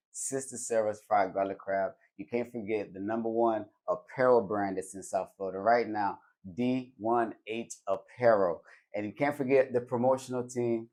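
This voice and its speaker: A man with a low voice hosts a talk show in a casual, slangy way. He hypes things up and often repeats his phrases for emphasis. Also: darkish tone. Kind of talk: casual interview with hype-style show intros.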